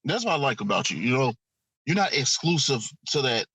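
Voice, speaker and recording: A man's voice: medium pitch, sing-song, fairly quick, a bit bright, a little hiss or hum, low-quality recording. A man hosting a cigar podcast, talking in a slangy, easygoing way. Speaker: podcast host. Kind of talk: casual chat.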